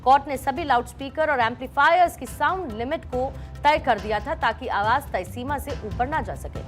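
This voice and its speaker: A woman's voice: high voice, fairly bright tone. A woman reading scripted broadcast news as an anchor, with emphatic, presentational delivery. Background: background music under the voice.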